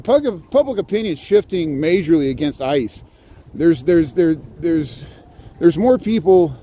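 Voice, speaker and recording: A man's voice: a little high, mellow. Rambling man livestreaming on city streets. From southern Indiana, US. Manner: rambling, chatty, answers viewer comments. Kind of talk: walking livestream commentary. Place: outdoors on cold city streets. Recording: faint street noise outdoors. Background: city traffic and street ambience.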